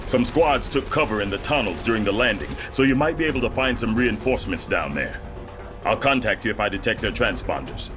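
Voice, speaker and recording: An actor playing a seasoned army general who speaks in a measured, dry-humored way. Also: male voice, on the low side, lively intonation, natural voice. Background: music score.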